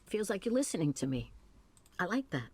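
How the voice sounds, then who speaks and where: A woman's voice: fairly low pitch, very animated, fairly quick, darkish tone, husky. A woman playing a chatty, lonely, scatterbrained older lady who rambles wistfully in a visual novel. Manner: rambling, folksy, wistful storytelling. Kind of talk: naturalistic visual novel voice acting.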